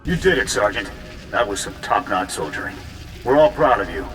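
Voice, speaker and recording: An actor playing a steady military officer giving a briefing in a video game cutscene, in an echoing recording. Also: male voice, on the low side, moderate pace. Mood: businesslike. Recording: roomy echo, music behind.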